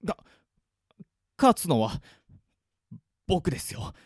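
A man's voice: on the high side, sing-song, slow pace, breathy edge, dry recording, clean studio sound, exaggerated voice. A man acting as a meek young death-game contestant in an audio drama, polite but defiant, with a hesitant stammer. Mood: nervous, determined.

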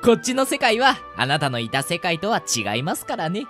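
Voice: medium pitch, big pitch swings, brisk, fairly bright tone, faint music behind. A performer in an amateur radio drama playing a polite male rabbit guide who explains things in formal speech. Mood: polite, chirpy.

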